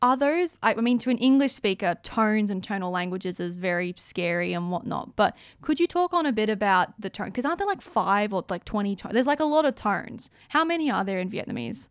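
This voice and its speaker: A young woman hosts a relaxed interview, asking upbeat, curious questions. Her voice sits at a middle pitch and sounds dry, close to the mic. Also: fairly quick, hardly any pauses, clear, resonant tone, no echo. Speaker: interview host. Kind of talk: casual interview chat.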